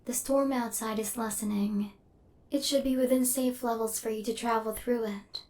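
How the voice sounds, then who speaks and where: A woman plays a female robot hunter in an intimate ASMR roleplay. Her voice sits fairly high and stays very level, with stiff, formal wording. She is coldly logical, yet possessive and tender toward her human target. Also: normal speed, natural voice. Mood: calm, protective. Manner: formal, clipped machine-like phrasing, deadpan.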